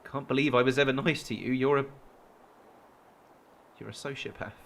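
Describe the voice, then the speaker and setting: A man's voice: mid-range voice, fairly even intonation, moderate pace, vocal fry, lightly acted. An actor playing an anguished, guilt-ridden boyfriend in a radio drama, hesitant and stammering as he defends himself. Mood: bitter, defensive. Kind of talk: naturalistic radio drama acting.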